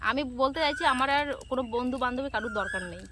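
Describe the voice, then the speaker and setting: Very fast-talking high-pitched woman. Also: background noise. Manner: accusing, reproachful. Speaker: vlogger making a prank call. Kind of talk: informal phone argument in a staged prank.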